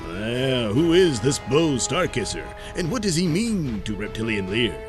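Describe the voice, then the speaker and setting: A man's voice: medium pitch, very animated, unhurried. A man narrating a tongue-in-cheek serial as a grandiose, theatrical announcer. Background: music.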